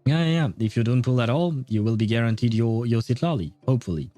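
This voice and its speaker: A man livestreaming a video game, chatting casually with viewers in a rambling, halting way. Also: medium pitch, mellow, soft background music. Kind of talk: casual livestream chat and commentary.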